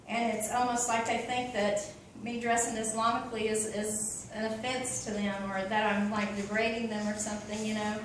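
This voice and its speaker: A woman's voice: fairly high pitch, very echoey, distant mic, a little hiss or hum, rough recording. A woman from Oklahoma with an Oklahoma accent, talking in a chatty, open way with many ums and you-knows. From Oklahoma, USA. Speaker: convert giving a talk. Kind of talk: casual personal talk.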